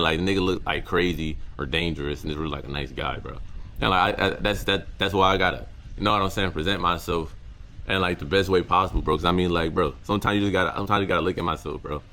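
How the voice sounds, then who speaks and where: Deep-voiced young man, halting and slangy. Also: fairly even intonation, bright, forceful tone, a little hiss or hum. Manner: slangy, halting, repeats words often. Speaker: content creator. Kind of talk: reflective casual vlog monologue.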